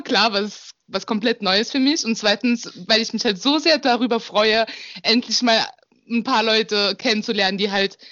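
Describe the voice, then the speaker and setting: A woman's voice: on the high side, bright, forceful tone, no room sound. A woman in her twenties from Germany, chatting casually as a student guest on a slightly muffled remote recording. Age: 20s. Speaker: podcast guest, student culture officer. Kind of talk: casual chat.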